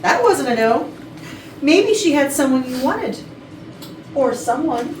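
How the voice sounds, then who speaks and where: A woman's voice: high-pitched, very animated, slow pace, darkish tone, heavily acted. A woman playing a testy, pointed attorney in a staged reading of a comic murder mystery. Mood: accusatory. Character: testy, accusatory female attorney, murder suspect. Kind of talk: staged reading of a comedy play. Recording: distant, echoey room, faint noise.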